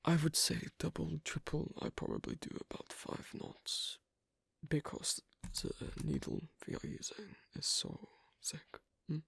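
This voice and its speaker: An actor voicing an asocial, awkward but patient werewolf in an ASMR roleplay, speaking softly in halting, clipped phrases with a breathy, gravelly voice. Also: medium pitch, flat delivery, dry recording, studio quality, full character voice. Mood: patient, instructing. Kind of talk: ASMR roleplay, soft close speech.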